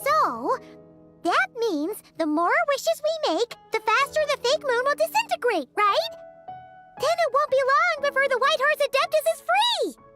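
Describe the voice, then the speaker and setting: A woman voicing a small, naive, excitable fairy companion in a video game, with a squeaky childlike voice. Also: unhurried, over loud music, heavily acted. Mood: excited, urgent. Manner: exclamatory, refers to herself in third person. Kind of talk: video game character dialogue.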